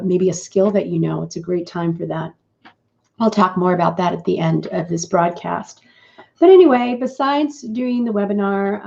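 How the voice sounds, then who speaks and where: A middle-aged woman hosting a live business broadcast, talking in a chatty, rambling way with a croaky morning voice. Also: on the low side, warm tone. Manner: chatty and rambling, with fillers and restarts. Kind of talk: casual live-stream talk. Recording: dull lo-fi stream audio.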